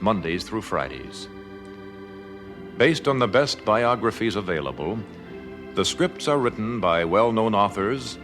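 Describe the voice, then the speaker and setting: A man narrates in a formal old-time radio style. His voice is low with broad, expressive swings in pitch, measured and solemn over prominent organ music. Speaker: narrator and announcer.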